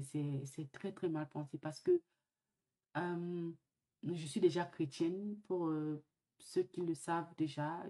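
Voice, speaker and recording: Flu-ridden woman vlog host, low and monotone, halting and reflective. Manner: halting, many fillers and restarts; earnest and reflective. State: sick with the flu. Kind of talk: casual vlog monologue.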